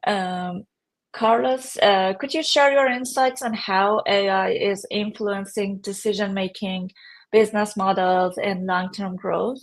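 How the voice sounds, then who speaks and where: A woman moderates a panel discussion. She talks very slowly at a medium pitch as she hands over topics and questions. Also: steady tone.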